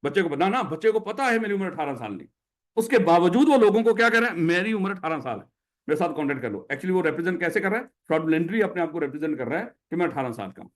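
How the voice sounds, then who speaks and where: A man's voice: a little high, very animated, fast talker. A man with a Pakistani Urdu accent teaching a classroom lecture, who explains by putting questions to his students.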